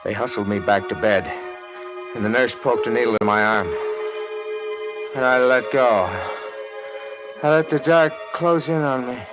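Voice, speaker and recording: A man's voice: medium pitch, steady tone, normal speed, long pauses, lightly acted. An actor playing a shaken, anxious male newspaper reporter who tells his ordeal in dramatic old-time radio noir style, on a vintage recording. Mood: weary. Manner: dramatic, tense first-person delivery. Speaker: narrator and protagonist. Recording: dull vintage radio recording with loud music.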